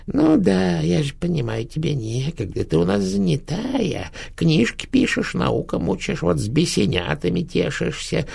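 Guy doing a creepy dead granny witch, high and crackly, super gravelly, swoops all over, nasty and mocking. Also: very animated, unhurried, hardly any pauses, a little mellow, exaggerated voice. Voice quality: rattling, cracked old voice. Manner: sneering, scolding. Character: dead old witch grandmother, rotting, spiteful, mocking. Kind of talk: audiobook narration.

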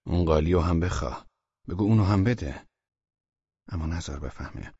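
A man's voice: deep voice, mellow, breathy edge, no echo, highs cut off. A man doing a scheming American friend. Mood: conspiratorial.

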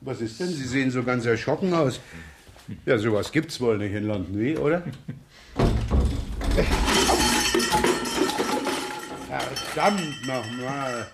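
Sardonic police inspector in an echoey room. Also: male voice, mid-range voice, lively intonation, normal speed. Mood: casual, needling. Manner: sarcastic, casual, needling, muttering asides. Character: jaded, teasing, scruffy police inspector. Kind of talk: 1970s German radio crime drama acting. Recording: echoey room, clear background noise.

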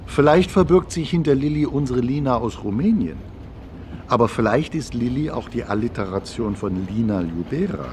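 A man's voice: on the low side, darkish tone, a little hiss or hum. A man giving informal, explanatory spoken commentary, as if talking off the cuff. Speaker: commentator on a composer's life and work.

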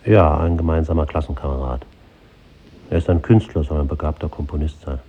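An actor playing a weary, resigned married man in a 1950s German radio drama, speaking in a measured, reflective way. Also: very deep voice, fairly even intonation, mellow. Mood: sober. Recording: dull, noisy old radio recording.

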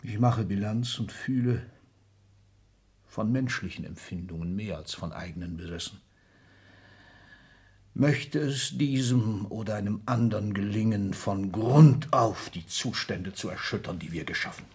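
Husky, proud old industrialist patriarch. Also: male voice, fairly low pitch, a little slow, darkish tone, exaggerated voice. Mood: bitter resignation. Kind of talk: German radio drama acting.

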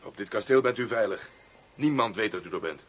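Deep, husky adjutant. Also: male voice, expressive, a little fast. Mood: dutiful, reassuring. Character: dutiful, reassuring adjutant to a general. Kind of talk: Dutch radio drama acting.

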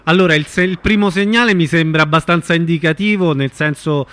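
A man hosting a casual live radio interview, feeling his way haltingly through his questions. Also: a little high, fairly bright tone.

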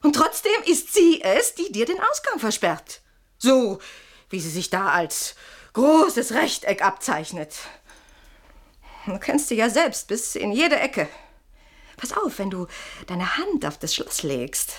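A sleepless wife in a radio play, needling and insinuating. Her voice sits fairly high and moves through very animated melodies. She probes with mock sweetness and theatrical irony. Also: slow, full character voice. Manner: theatrical, probing, ironic. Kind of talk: German radio play acting.

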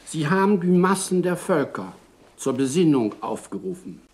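A woman giving a formal prepared address in a solemn, deliberate manner. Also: sits low, big pitch swings, darkish tone.

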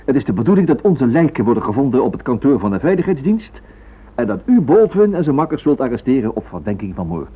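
An actor playing a defiant, shrewd captive husband in a Dutch radio drama, husky and sarcastic. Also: male voice, mid-range voice, big pitch swings, quick pace. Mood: bitter. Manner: dry, sarcastic. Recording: thin, phone-like old radio recording.

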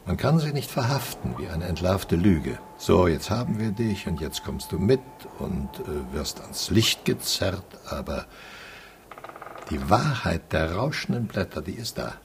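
A man's voice: low-pitched, sing-song, unhurried, slight background noise, exaggerated voice. An actor in a radio drama playing a philosophical man who muses earnestly and reflectively. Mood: musing. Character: musing, philosophical man pondering truth, time and nature.

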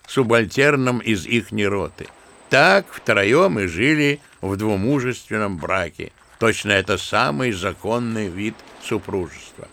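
An old retired general in a radio play, spinning a story with scornful, lively asides. His voice sits at a middle pitch with a gravelly, creaky edge. The storytelling is theatrical yet conversational, as if speaking to a young relative. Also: heavily acted. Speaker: actor. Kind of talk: audio drama acting.

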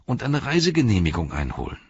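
A man's voice: lowish voice, wildly swooping pitch, fast, a little mellow, husky, dry recording, muffled recording, pitched above the performer's own voice, exaggerated voice. A man voicing a timid, grumbling hobbit constable. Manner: complaining, confiding, nervous.

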